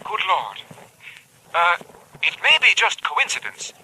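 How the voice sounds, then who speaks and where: An actor playing a proper, anxious physician in an old radio drama, formal, precise and given to exclamation. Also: male voice, high-pitched, huge pitch swings, takes it slowly, sharp tone, exaggerated voice. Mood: grave, uneasy. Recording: noisy old radio recording.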